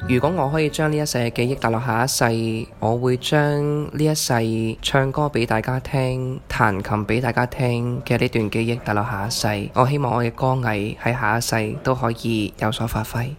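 A man's voice: medium pitch, steady tone, normal speed, hardly any pauses, faint music behind. A man giving a personal answer to a question.

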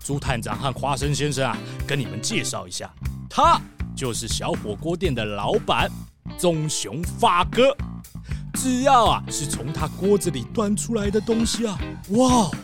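Chatty mayor in a kids radio play, high n very animated. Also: male voice, over music. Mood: proud, enthusiastic. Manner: exclaiming, drawn-out words, gossipy. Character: chatty, excitable town mayor, proud local guide. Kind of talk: children's radio drama acting.

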